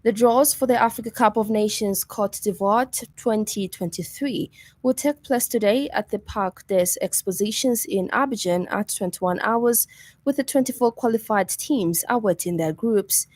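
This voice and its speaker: A woman's voice: medium pitch, moderate pace, darkish tone, no echo. A woman with a Zambian accent reads a scripted news bulletin as a radio presenter. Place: radio studio.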